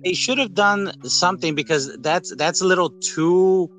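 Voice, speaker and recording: A man in a casual, rambling podcast-style chat reviewing a film, with animated retellings. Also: high voice, normal speed, soft background music. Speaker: podcast host.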